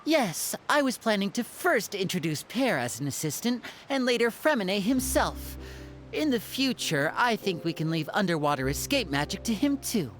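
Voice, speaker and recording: A woman's voice: mid-range voice, very animated, normal speed, soft background music, natural voice. A woman voicing a suave, theatrical young male stage magician, courteous and showmanlike, in an anime-style video game. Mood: confident, pleased.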